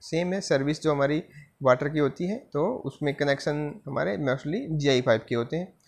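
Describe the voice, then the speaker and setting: A man giving a civil engineering slide lecture. He reads each line slowly, then explains it in Hindi, with frequent "theek hai" fillers. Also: on the high side, gravelly. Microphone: voice-over on screen-recorded slides.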